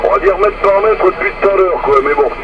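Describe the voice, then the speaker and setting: A man's voice: high-pitched, fast. A middle-aged man from central France chatting casually over CB radio from a moving truck, explaining things hesitantly. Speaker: truck driver, CB radio operator. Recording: muffled CB radio, loud engine noise.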